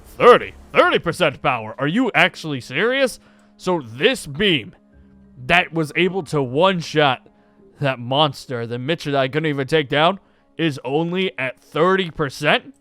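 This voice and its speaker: Man playing a higher-pitched young gunslinger sidekick. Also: lively intonation, measured pace, pushed and bright. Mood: impressed, incredulous. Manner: casual, questioning, incredulous. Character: young male gunslinger, curious, easily impressed sidekick. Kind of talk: improvised Minecraft roleplay acting. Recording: background music under the voice.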